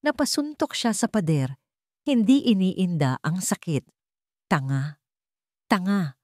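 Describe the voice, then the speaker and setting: A woman's voice: mid-range voice, big pitch swings, a little slow, warm tone, no echo, clean studio sound. A woman with a Filipino accent narrating a romance drama story in an emotional, dramatic way.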